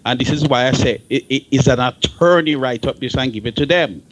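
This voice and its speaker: A man's voice: medium pitch, a little fast, poor audio. A man with a Jamaican accent speaking in an opinionated radio discussion, emphatic and often repeating words for stress.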